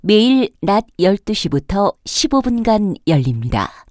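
A woman's voice: mid-range voice, big pitch swings, unhurried, darkish tone, dry recording, studio quality. A woman reading scripted subway station announcements in a formal, carefully enunciated manner. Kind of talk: scripted public transit announcement.

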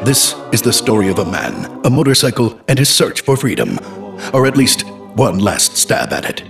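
A man's voice: mid-range voice, big pitch swings, normal speed, vocal fry. A man delivering scripted narration as the announcer of an audio trailer. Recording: music bed behind narration.

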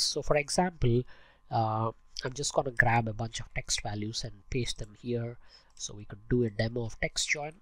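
Male screencast instructor, mid-pitched, audible head cold, informal and halting step-by-step delivery, dry sound. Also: fairly bright tone, no echo, low-quality recording. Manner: explains step by step, with frequent hesitations. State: voice affected by a cold. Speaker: host of a spreadsheet tutorial channel. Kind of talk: informal screencast tutorial narration.

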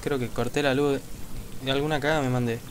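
A man's voice: mid-range voice, steady tone, fast talker, a little hiss or hum. Rioplatense man thinking aloud over a game. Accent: Rioplatense Spanish. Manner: casual, swearing, thinking aloud. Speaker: gaming video host. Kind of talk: let's play commentary.

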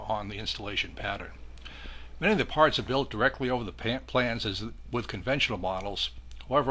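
A man narrating a product review as a hobbyist, explaining in a measured, matter-of-fact way. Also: mid-range voice, bright, forceful tone. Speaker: model airplane hobbyist reviewer.

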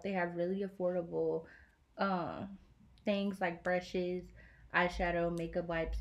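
A young woman talking casually through a makeup tutorial, relaxed and chatty with many fillers, in a small bedroom on a device mic. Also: fairly low pitch, lo-fi sound. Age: young adult. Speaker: beauty vlogger.

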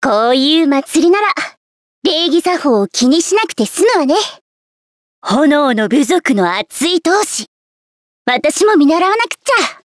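A woman's voice: sits high, big pitch swings, normal speed, no room sound, studio quality. A woman playing a spirited, competitive young female warrior in a Japanese game, with a plucky delivery. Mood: cheerful. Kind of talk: Japanese game character voice lines.

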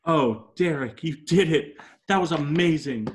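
A man's voice: a little high, measured pace, slight background noise, lightly acted. A man playing an admiring, affectionate young woman in a comedy table read over a video call.

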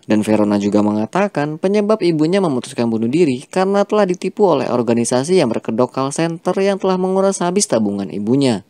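Dark-toned man narrating a film recap. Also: on the high side, very animated, quick pace, no echo. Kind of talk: scripted narration.

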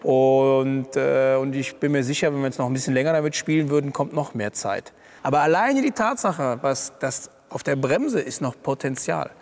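A man in his thirties, a racing driver, explaining his lap calmly in a seated interview with many fillers. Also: mid-range voice, moderate pace. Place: garage by a race track, indoors. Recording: reverberant garage.

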